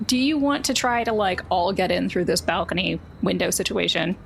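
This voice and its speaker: Female game master, gravelly edge, conversational improvised narration, noisy recording. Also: lowish voice, animated, brisk.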